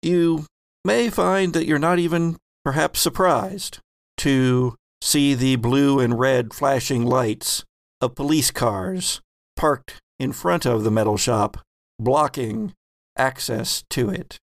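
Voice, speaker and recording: A man acting as game master, explaining rules and narrating scenes in measured bursts. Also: medium pitch, no echo, clean studio sound.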